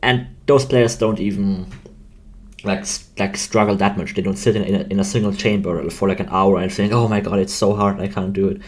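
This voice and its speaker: A man's voice: deep voice, steady tone, fairly quick. A young man of about twenty with an Austrian German accent, chatting casually and hesitantly on a livestream, rambling and interrupting himself. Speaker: game developer hosting a livestream. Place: indoors at a computer desk.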